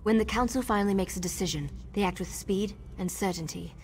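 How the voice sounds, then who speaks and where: A woman's voice: mid-range voice, a little fast, lightly acted. A woman playing an earnest, impatient young elf in a fantasy game, speaking expressively. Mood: resolute. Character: eager, earnest young female elf rebel. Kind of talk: fantasy video game voice acting. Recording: faint background noise.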